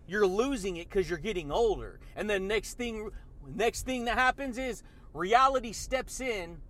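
A man delivers a fired-up motivational talk, his voice pitched high for a man. He talks in a slangy, hyped way, throwing in dude and baby as he addresses the listener. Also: lively intonation, a little slow, fairly bright tone. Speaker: motivational podcast host. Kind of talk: energetic motivational monologue.

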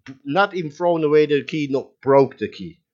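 A man hosting a podcast in casual chat, relaxed and teasing, laughing often. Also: on the high side, moderate pace. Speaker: podcast host. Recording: slightly dull lo-fi audio.

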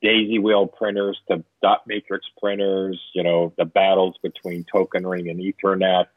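A man's voice: sits low, flat delivery, moderate pace, dry recording. A man in his fifties from Southern California, a tech executive answering interview questions, rambling with many fillers. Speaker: guest, tech company executive. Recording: tinny phone-line sound.

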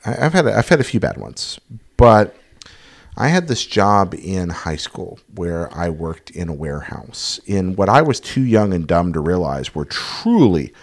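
Man, host, lively pitch, playful, quick questions, slight lisp, casual interview.

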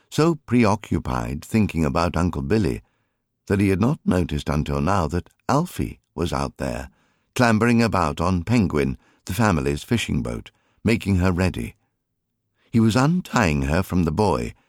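A man reading a story as an audiobook narrator, in an expressive, measured storytelling manner. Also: deep voice, no echo, studio quality.

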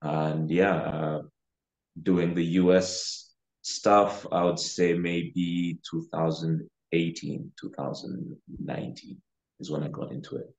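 A man's voice: low-pitched, slow pace, muffled recording. A young man from Uganda, a real estate investor, talking casually as a podcast guest with many fillers. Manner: relaxed, with frequent fillers like "you know" and "uh". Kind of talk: casual interview talk.

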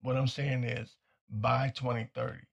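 A man hosting a talk show with a hoarse, nearly gone voice, delivering a blunt and insistent commentary monologue that repeats its points. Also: mid-range voice, flat delivery, unhurried, muffled recording. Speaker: podcast host.

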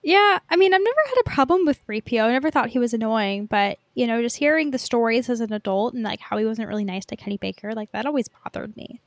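A woman co-hosting a podcast in relaxed, informal conversation. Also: a little high, fast, hardly any pauses, no echo, studio quality. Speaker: podcast co-host. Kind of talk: casual chat.

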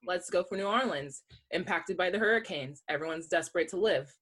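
Podcast co-host, mid-pitched, playful, laughs a lot. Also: a bit bright, breathy edge. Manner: laughs often, quick playful reactions. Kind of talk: casual movie review chat.

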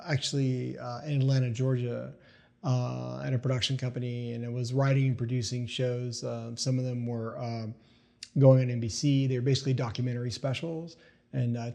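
A man's voice: medium pitch, warm tone. A middle-aged man from East Tennessee, a filmmaker chatting in an interview, rambling with many fillers and restarts. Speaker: filmmaker guest. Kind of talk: casual interview chat.

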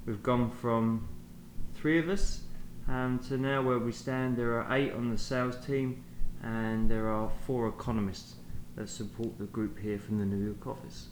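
A British man answers interview questions in a hesitant, conversational way, stopping to restart his sentences. His voice sits at an ordinary pitch for a man. The room is echoey, with a faint background hiss. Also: steady tone, measured pace. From England. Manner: hesitant, many fillers and restarts. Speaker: guest, sales manager.

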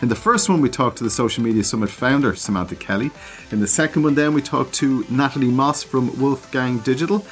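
A man's voice: medium pitch, brisk. Male podcast host, mid-range voice, promo-read then easy chat, light music underneath. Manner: promotional, list-reading, conversational. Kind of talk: podcast intro and interview.

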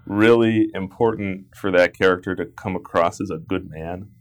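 Deep-voiced man musing about a film. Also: normal speed. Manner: reflective, builds points with "like" and "right?". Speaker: podcast co-host. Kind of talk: casual film discussion.

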